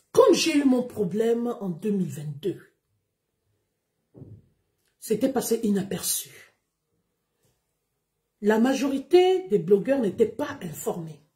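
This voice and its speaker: Slow, emphatic woman. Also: on the high side, darkish tone. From Gabon. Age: 30s. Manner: emphatic, declamatory, deliberate. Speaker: live streamer. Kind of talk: livestream monologue to followers.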